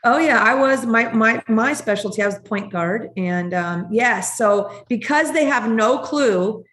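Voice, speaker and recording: A woman's voice: a little high, fairly bright tone, roomy sound. A woman co-hosting a podcast interview, chatting in an upbeat, casual way over a video call. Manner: chatty, upbeat, quick to laugh. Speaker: podcast co-host.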